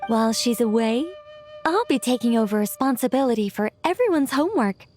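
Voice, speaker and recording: A whimsical female mage from a video game, played by a woman, speaks in a high, youthful voice. She is composed and musing, dodging questions playfully and taking her time. Soft music plays behind her. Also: animated, a little fast, lightly acted. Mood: calm, explanatory. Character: whimsical, composed female mage, once an angel, young-sounding. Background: background music.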